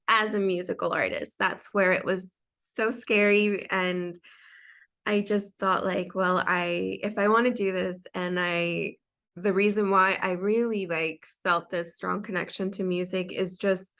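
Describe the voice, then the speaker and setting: A woman's voice: medium pitch, fairly bright tone. A young adult woman, a designer and singer, telling her story as an interview guest in a chatty way full of fillers and restarts.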